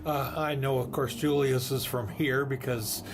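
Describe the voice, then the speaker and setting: An interviewer asks his questions in a halting, filler-heavy way. Clear background noise fills the room around him. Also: medium pitch, steady tone. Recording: noisy room behind.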